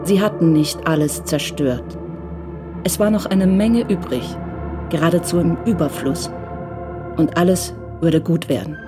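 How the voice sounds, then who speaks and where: A woman's voice: lowish voice, measured pace. A woman narrating a crime radio drama as a scripted literary story. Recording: clear music bed under narration.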